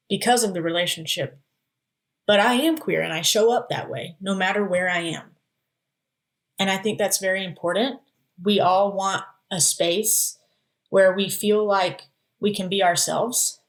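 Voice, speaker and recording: A woman guest in a podcast interview, speaking candidly and laughing easily, with stumbling restarts. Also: fairly low pitch. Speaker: music therapist guest. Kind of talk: casual interview chat.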